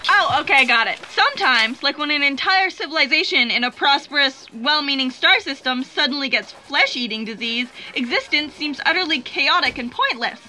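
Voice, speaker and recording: A woman's voice: high-pitched, expressive, normal speed, few pauses, pushed and bright, a little hiss or hum, heavily acted, low-quality recording. A woman playing an earnest, easily flustered fact checker, explaining things bookishly in comic sci-fi audio theatre. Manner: hesitant, then bookish and explanatory.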